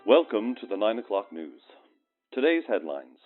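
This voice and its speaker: A man's voice: lowish voice, big pitch swings, moderate pace, narrow, tinny audio. A man playing a formal evening newsreader in a radio play, with declamatory delivery. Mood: formal, announcing. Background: faint music.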